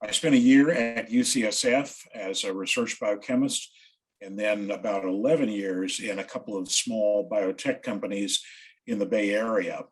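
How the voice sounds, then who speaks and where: A man's voice: lowish voice, normal speed, breathy edge, rough recording. A man from West Virginia, a podcast guest, steadily recounting his career step by step. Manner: steady, orderly. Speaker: guest, science writer.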